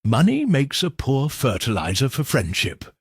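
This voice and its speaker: A man voicing a gentle, shrewd elderly lady detective in a mild, measured, knowing way for an audiobook. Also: mid-range voice, wildly swooping pitch, breathy edge, no room sound, exaggerated voice. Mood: wry, knowing.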